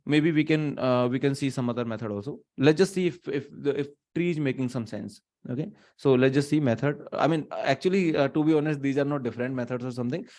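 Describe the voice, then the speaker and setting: An Indian man in his thirties teaches a live online class from a small room, his voice sitting at an ordinary middle pitch. He thinks out loud, stops and restarts, and drops Hindi phrases into his English. The mic is a webcam setup, not close to him. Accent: Indian English, mixing in Hindi. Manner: thinking aloud, many restarts and fillers.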